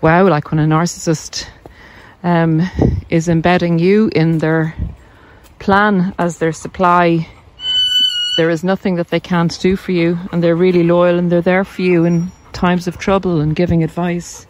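A woman with an Irish accent talking informally and reflectively to viewers while out on a walk, pausing as she explains. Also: on the low side, level tone, a little mellow, slight background noise. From Ireland. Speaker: video host, narcissism coach. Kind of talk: casual chat to camera while walking. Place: outdoors on a country walk.

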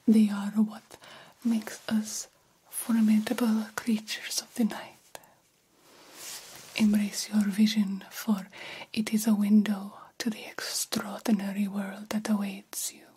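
A woman in an ASMR roleplay voicing a formal, reassuring, slightly vain female vampire doctor in a gentle, breathy whisper. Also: mid-range voice, a little hiss or hum, lightly acted, poor audio. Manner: slow, formal, measured, gently encouraging.

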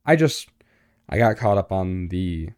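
A young man co-hosting a podcast in casual chat, joking and informal, with a boomy voice close to the microphone. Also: very animated, unhurried, darkish tone, clear, resonant tone, dry recording. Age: young adult. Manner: joking, informal, self-interrupting. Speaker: podcast co-host.

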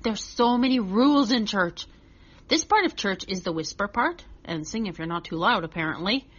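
A woman voicing a chatty, earnest six-year-old boy telling a children's story, childlike and expressive. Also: mid-range voice, moderate pace, slightly rough, highs cut off. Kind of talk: first-person children's story reading.

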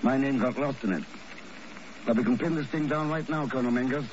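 An actor playing a suave, dry, unflappable secret agent with a clipped manner in a 1950s radio spy drama. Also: male voice, medium pitch, a little fast, lightly acted. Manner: wry, clipped. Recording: noisy, dull old radio recording.

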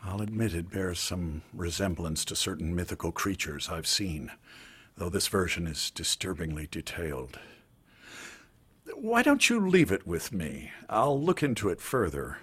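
A stern older man of authority with a low, heavily rasping voice. He speaks with grave, wary, measured weight in a radio drama. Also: big pitch swings, a bit bright, full character voice. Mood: cagey, suspicious.

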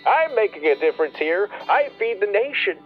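A grieving, proud farmer in a radio drama. His slightly high voice moves widely in pitch as he speaks slowly and ruefully, with music underneath. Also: big pitch swings, narrow, tinny audio. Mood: indignant. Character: grieving, bitter rural farmer, proud and nostalgic. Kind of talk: radio play monologue.

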